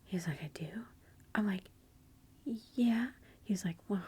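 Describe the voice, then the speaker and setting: A woman's voice: on the low side, fairly even intonation, moderate pace, slightly rough. A woman, whispering a rambling, amused story in ASMR style. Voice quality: soft whisper. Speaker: ASMR vlogger.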